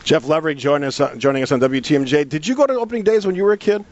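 A male radio host talks very quickly in a slightly high voice. He is conducting a conversational sports interview, and the sound is a little dull.

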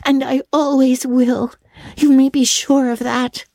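Very high-pitched earnest little girl. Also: a little slow, darkish tone, dry recording, clean studio sound. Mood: staunch, affectionate. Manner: childlike, earnest, simple. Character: Diana, devoted, plainspoken little girl, Anne's bosom friend. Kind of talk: dramatic audiobook reading.

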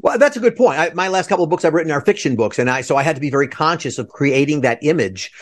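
Effusive, higher-pitched man hosting a podcast. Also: lively intonation, quick pace. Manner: effusive, rambling, digressive. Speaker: podcast host. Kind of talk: enthusiastic conversational interviewing over video call. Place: remote video call.

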